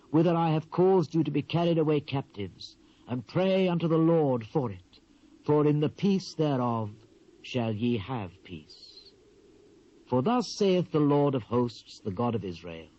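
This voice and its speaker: A British actor playing a solemn male prophet in a dramatised King James Bible reading, speaking in a grave, measured, declamatory way with drawn-out phrases. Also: mid-range voice, dark tone. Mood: grave, assuring. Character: solemn male Hebrew prophet proclaiming God's judgement. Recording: dull, muffled old cassette transfer.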